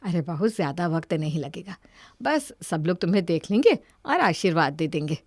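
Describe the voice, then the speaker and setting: A woman's voice: fairly low pitch, sing-song, normal speed, dry recording, clean studio sound, pitched below the performer's own voice. A woman voicing a hospitable middle-aged mother-in-law in a cartoon story, coaxing and placating. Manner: coaxing, apologetic. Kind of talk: Hindi cartoon story dialogue.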